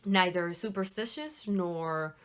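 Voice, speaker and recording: Low-pitched young woman chatting casually. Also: slow pace, a bit bright, clean tone. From Puerto Rico. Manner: candid, informal, occasional swearing. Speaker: book vlogger. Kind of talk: casual chat to viewers.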